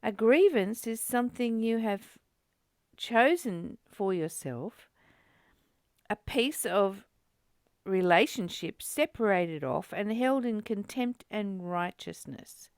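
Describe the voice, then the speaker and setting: A woman reading aloud and explaining a spiritual text as the host of a study group, in a deliberate, informal teaching way. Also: medium pitch, animated, dry recording, studio quality.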